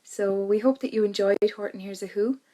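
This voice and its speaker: A woman's voice: mid-range voice, steady tone, fairly quick, warm tone. A woman giving a casual closing message as a staff member.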